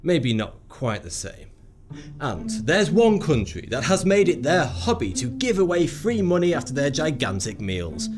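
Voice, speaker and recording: A man's voice: on the high side, very animated, a little slow, over music. A man giving scripted narration for an explainer video, with a sarcastic, wry delivery.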